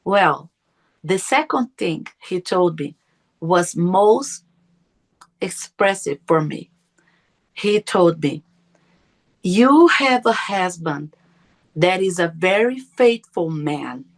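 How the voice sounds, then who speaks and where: A woman's voice: lowish voice, animated, slow pace, pauses often, low-quality recording. A middle-aged woman whose first language is Portuguese, telling a personal story as a podcast guest, earnest and often searching for her words. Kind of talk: personal testimony.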